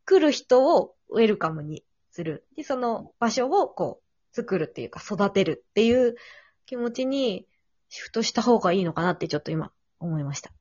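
A woman's voice: medium pitch, sing-song, moderate pace, a little mellow, breathy edge, dry recording. A woman hosting a casual conversation, offering advice in polite, tentative, reflective phrasing. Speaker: podcast host. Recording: slightly dull lo-fi audio.

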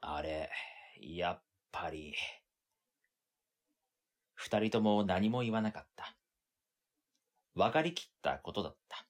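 A man's voice: low-pitched, animated, takes it slowly, pauses often, full character voice. A man playing an exasperated, long-suffering young man who narrates his own story in an audio drama. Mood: stunned narration. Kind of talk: first-person scripted narration in audio drama.

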